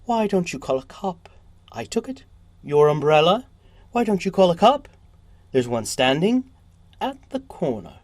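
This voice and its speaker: A man's voice: sits high, big pitch swings, a little slow, pauses often, no room sound, pitched above the performer's own voice. A man voicing a defiant, cheeky vagrant in a storybook reading, bold and taunting. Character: defiant, cheeky vagrant daring others to call police.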